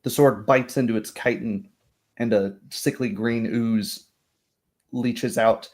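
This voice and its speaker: A man's voice: mid-range voice, steady tone, slow, darkish tone. A man working as game master, narrating a tabletop roleplay game in descriptive, literary language.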